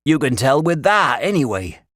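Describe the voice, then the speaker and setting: Storybook role: man playing grave old shepherd, rural English accent, folksy and emphatic, lively intonation, dry sound. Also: medium pitch, moderate pace, no echo, voice pushed up. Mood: grave warning. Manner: emphatic, folksy dialect speech. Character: old country shepherd, grave, warning, rustic.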